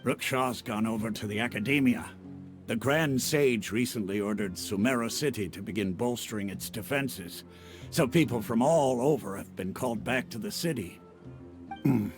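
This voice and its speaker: An actor voicing a gruff mercenary chief who explains things in a measured, wry way, in a game cutscene. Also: male voice, mid-range voice, lightly acted. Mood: serious. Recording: game music clearly behind.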